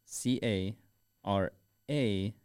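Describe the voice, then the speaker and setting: A man co-hosting a podcast in casual banter, with a teasing, informal manner and halting phrasing. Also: lowish voice, a little mellow, smooth. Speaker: podcast co-host.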